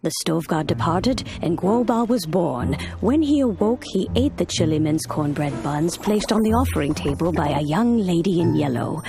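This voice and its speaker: An actress playing an ageless, wise immortal woman in a video game, telling old tales in a solemn, measured, formal way. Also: fairly low pitch, animated, gravelly. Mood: reverent storytelling. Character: ageless immortal adeptus woman, wise, stately, nostalgic storyteller. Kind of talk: dramatic video game storytelling.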